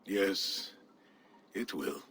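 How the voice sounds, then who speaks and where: An actor playing a grieving Viking steward who speaks quietly and wearily in a game cutscene. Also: male voice, low-pitched, very slow, a little hiss or hum, exaggerated voice. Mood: mournful. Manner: weary, mournful, quiet.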